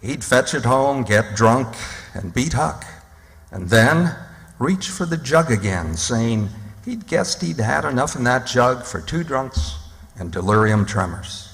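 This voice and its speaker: A man acting as the narrator of a radio play, telling the story in a measured, plain, scripted way. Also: mid-range voice, animated, creaky, a bit bright, exaggerated voice. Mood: grave storytelling. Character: storybook narrator of a river tale. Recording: long hall reverb.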